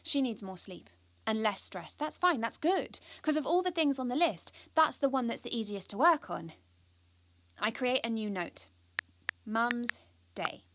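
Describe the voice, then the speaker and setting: A woman's voice: a little high, expressive, measured pace, fairly bright tone, dry recording. A woman playing an earnest, driven and anxious schoolgirl who narrates an audio drama in an intense, precise way. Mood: shaken. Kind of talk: first-person audio drama monologue.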